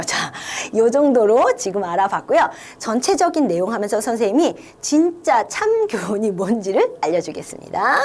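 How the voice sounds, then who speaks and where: A young woman with a Korean accent teaches an English fable, her voice bouncing up and down with lively pitch. She reads the English sentences slowly and clearly, then explains them in quick, animated Korean. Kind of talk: explaining an Aesop fable line by line.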